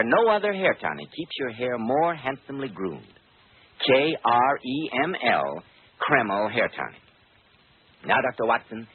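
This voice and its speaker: A man announcing and pitching in polished, formal 1940s radio style. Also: husky, narrow, tinny audio. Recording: thin, noisy 1940s radio broadcast.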